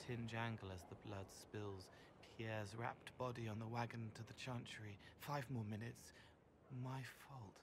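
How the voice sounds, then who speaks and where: A man voicing a gentle, otherworldly young male spirit in a video game, speaking in fragmented, poetic, halting phrases. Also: fairly low pitch, steady tone, background noise. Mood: absorbed, dreamy. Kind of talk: dramatic video game voice acting.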